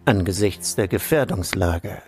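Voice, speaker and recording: An actor playing a cynical, scheming middle-aged intelligence official in a radio play, smooth and insinuating. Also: male voice, deep voice, animated, unhurried, a little mellow, soft background music, exaggerated voice. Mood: veiled menace. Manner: insinuating, falsely cordial. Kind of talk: German radio play acting.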